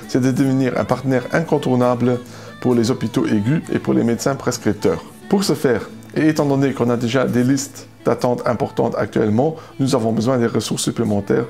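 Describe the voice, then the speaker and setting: A man's voice: medium pitch, a little mellow. A man speaking as a manager in a corporate presentation, formal in manner, with guitar music behind him. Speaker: hospital manager.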